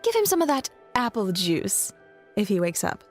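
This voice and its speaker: A soft-hearted travelling bard in an audio drama, played by a woman. She speaks at a middle pitch, gentle and reassuring with a practical streak. Music sits faintly behind her. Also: big pitch swings, normal speed. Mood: brisk, fond.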